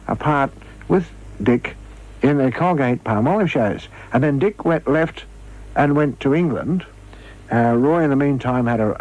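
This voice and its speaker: An older Australian man telling anecdotes in a radio interview, halting as he recalls them. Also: medium pitch, darkish tone. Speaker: former radio comedy performer, interviewee. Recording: slightly dull archive radio interview.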